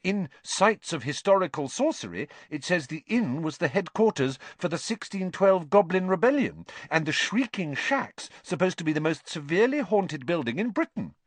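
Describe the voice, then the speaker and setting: A man voicing a bright, bossy teenage schoolgirl witch in a storybook, with an earnest, sharp manner. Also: medium pitch, wildly swooping pitch, moderate pace, few pauses, husky, dry recording, voice pushed up. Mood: keen.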